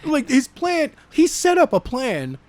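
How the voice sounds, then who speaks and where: A man's voice: very high-pitched, huge pitch swings, unhurried, dry recording. A man co-hosting a podcast with casual, teasing banter. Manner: joking, teasing. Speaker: podcast co-host.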